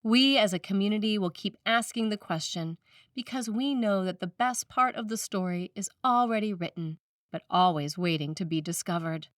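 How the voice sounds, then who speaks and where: Female preacher, mid-pitched, scripted sermon delivery, measured phrasing, dry but slightly off-mic. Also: no room sound. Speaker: priest reading a sermon. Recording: slightly distant microphone, dry room.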